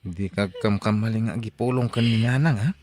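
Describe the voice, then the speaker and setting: A man's voice: on the low side, a little fast, darkish tone, close to an everyday voice. A man playing a defensive young husband and college student in an audio drama, with an Ilocano accent. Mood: irritated.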